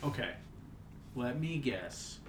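A man co-hosting a casual podcast, joking and banter-driven, with a southern US accent, mumbling one moment and loud the next. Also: medium pitch, expressive, slow pace, a little hiss or hum. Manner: playful, jumps between mumbling and loud exclaiming. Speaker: podcast co-host. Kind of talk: casual chat.

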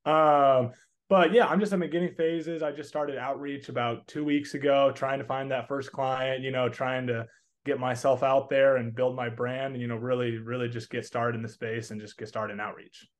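A man's voice: medium pitch, flat delivery, moderate pace. Young Southern-accented man, interview guest, earnest and chatty. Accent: Southern American. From Texas. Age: 20s. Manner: earnest, rambling, many restarts and fillers. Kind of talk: casual interview answers.

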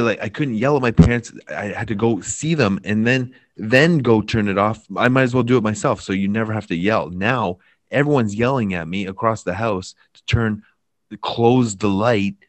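Man, very low voice, dry close sound, lively storytelling co-host. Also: no room sound. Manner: animated storyteller, gets worked up. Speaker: podcast co-host. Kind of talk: casual chat.

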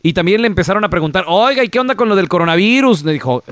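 A man's voice: sits high, lively intonation, racing, fairly bright tone, no echo. A man hosting a casual radio talk show, chatting with guests and retelling news in a conversational way. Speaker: radio and podcast host.

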